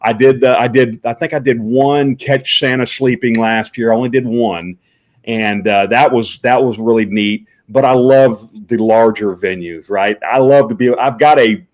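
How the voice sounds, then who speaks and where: Booming-voiced man talking as a guest. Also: fairly even intonation, normal speed. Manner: booming voice, filler-heavy with uhs and restarts. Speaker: professional Santa Claus performer, guest. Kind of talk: casual interview answers.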